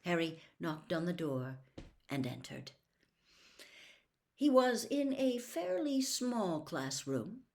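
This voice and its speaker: A woman reading a storybook aloud as an expressive narrator. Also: fairly low pitch, takes it slowly, creaky, long pauses. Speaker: audiobook reader.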